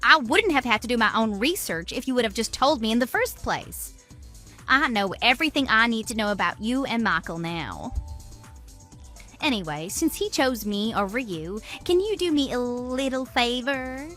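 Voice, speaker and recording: A woman's voice: on the high side, pushed and bright. A woman playing a smug, gloating mistress in a radio drama, taunting and boastful in an exaggerated way. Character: smug, gloating mistress who steals other women's men. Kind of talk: scripted radio drama dialogue.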